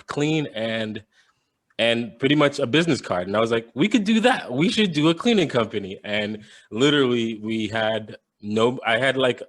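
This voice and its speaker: A young adult man from Jamaica, a podcast guest telling his story casually, with many fillers and restarts. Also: mid-range voice.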